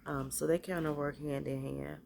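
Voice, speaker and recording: A woman with a stuffy cold, casually showing off the spiritual bath and body products she makes, talking in a halting, hesitant way. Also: low-pitched, fairly even intonation, vocal fry, darkish tone, slight background noise.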